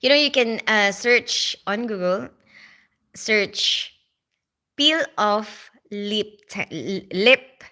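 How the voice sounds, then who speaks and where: Bright-voiced chatty Filipino woman streaming live. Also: medium pitch, expressive, drawn-out words. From Philippines. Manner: chatty, lots of fillers like "like" and "you know". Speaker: livestream host. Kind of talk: casual livestream chat reading viewer comments.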